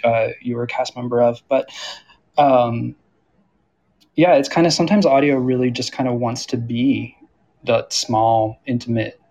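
A man's voice: medium pitch, gravelly, highs cut off. A man moderating a panel in a conversational way, feeling out his questions as he speaks. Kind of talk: conversational interviewing.

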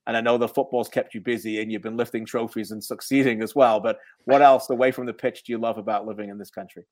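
A man hosting a soccer show, putting questions to a guest in a chatty, lively way. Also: on the low side, fast talker. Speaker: show host. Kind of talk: broadcast interview questions.

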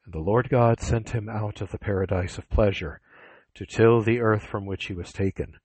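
A man narrates scripture with a very low, breathy voice. His reading is solemn and measured. The sound is dry, with almost no room. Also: steady tone, darkish tone, dry recording, low-quality recording.

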